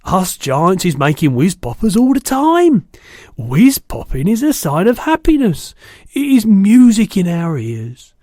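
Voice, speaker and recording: A man's voice: sits high, huge pitch swings, a little slow, dark tone, dry recording, studio quality, pitched above the performer's own voice, heavily acted. A man voicing a big, friendly old giant in a storybook, exuberant and indignant, exclaiming emphatically in muddled words and grammar. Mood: gleeful.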